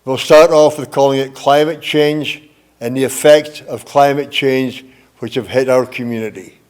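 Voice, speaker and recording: An older man addresses a forum as a political candidate. His delivery is measured and earnest, with plain wording and a middling pitch. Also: steady tone, darkish tone, clean tone. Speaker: mayoral candidate. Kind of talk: prepared campaign speech at a forum.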